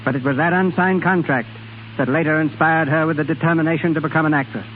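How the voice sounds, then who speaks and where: A man's voice: a little high, steady tone, quick pace, phone-quality sound, a little hiss or hum. A man hosting and narrating a 1930s radio theatre programme in scripted, period style. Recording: thin 1930s radio broadcast, faint music.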